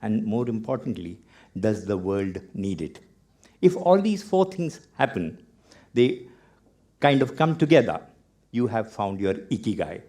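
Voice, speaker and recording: An older Indian man with an Indian accent giving a talk on stage, telling his story with wry humor and dramatic pauses. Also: fairly low pitch, sing-song, mellow. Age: 50s or older. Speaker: TEDx speaker.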